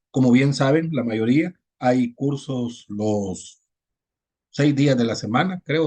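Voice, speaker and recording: A man acting as pastor and teacher, welcoming students to an online class in a relaxed way and often adding "¿verdad?". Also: mid-range voice, husky. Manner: relaxed, repeats words and adds "¿verdad?" often. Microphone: video call.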